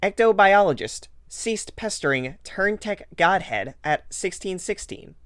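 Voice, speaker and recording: A man's voice: high-pitched, animated, unhurried, clean tone, no echo. A man narrating a game-like adventure story in scripted second person for an amateur fandub. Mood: measured storytelling.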